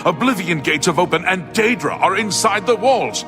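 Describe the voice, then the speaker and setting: A man playing a frantic, battle-ready imperial guard in a video game, speaking urgently and forcefully. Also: sits high, lively intonation, moderate pace, over music. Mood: alarmed. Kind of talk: video game combat and alarm dialogue.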